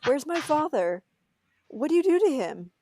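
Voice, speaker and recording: Woman as earnest young man, animated and pleading. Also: high voice, moderate pace, a little hiss or hum. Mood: confused, urgent. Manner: pleading, emotional. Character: earnest, desperate young man searching for his father. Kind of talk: table read of a film script.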